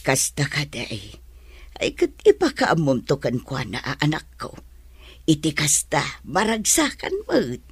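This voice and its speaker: A concerned, protective mother in a radio serial. She is middle-aged, with a lowish, husky voice that gently cautions, recorded dry. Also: wildly swooping pitch, slow pace, no room sound, exaggerated voice. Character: worried, protective mother of a pregnant daughter. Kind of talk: radio drama acting.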